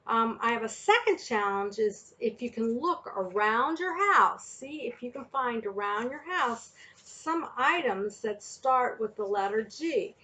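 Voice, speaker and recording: Woman, higher-pitched voice, very unhurried delivery, reads storybooks and gives simple lessons to small children with questions. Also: expressive, sharp tone, poor audio. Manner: slow, deliberate, addressing children with questions. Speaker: children's story reader and teacher. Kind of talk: picture book read-aloud and lesson for young children.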